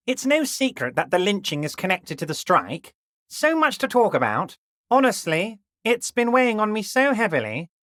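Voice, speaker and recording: A smooth, ingratiating middle-aged union boss in a video game, slyly patronizing and always drawing out his words. His voice sits fairly high for a man and roams up and down expressively. It is recorded dry and close, with no room sound. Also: fairly bright tone, no room sound, full character voice. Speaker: dock union leader character.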